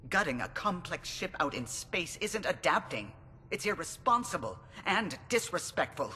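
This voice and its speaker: A man's voice: very animated, moderate pace, bright, forceful tone, full character voice. A man playing a prim, indignant alien starship pilot in a video game, precise and reproachful. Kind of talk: video game cutscene acting. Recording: reverberant cutscene with faint rumbling noise.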